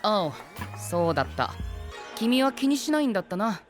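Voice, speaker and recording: A man's voice: sits high, big pitch swings, moderate pace. A man playing a proper, concerned young knight in an anime-style voice drama, speaking formally and earnestly. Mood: curious, uneasy. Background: music.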